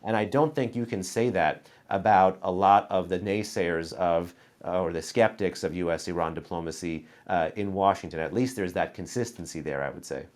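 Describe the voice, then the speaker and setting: A man hosting a panel discussion who makes dense, argumentative points and adds quick footnotes. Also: sits low, darkish tone. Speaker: host moderating a panel.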